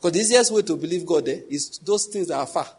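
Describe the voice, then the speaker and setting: A man's voice: fairly high pitch, expressive, fast talker, darkish tone, slightly rough. A young adult man preaching a sermon, emphatic, repeating key phrases and putting questions to the congregation. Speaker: pastor.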